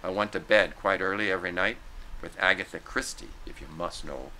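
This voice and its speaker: A man narrator plays a defensive young woman in a read-aloud story. The lines are pointed and clipped, in a bright, forceful tone, with some background noise. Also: low-pitched, fairly quick, rough recording. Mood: indignant, pointed. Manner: pointed, succinct.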